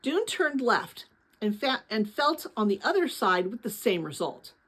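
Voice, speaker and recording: Expressive, slightly husky woman reading a storybook. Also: a little high. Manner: expressive, pauses to address young listeners with questions. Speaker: read-aloud narrator of a children's novel. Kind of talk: dramatic storybook read-aloud.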